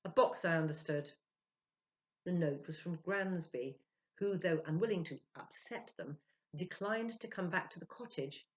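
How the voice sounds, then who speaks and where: A woman in her sixties giving a theatrical, expressive dramatic reading of a story as a professional storyteller. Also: on the low side. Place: small indoor room. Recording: dull lo-fi webcam sound.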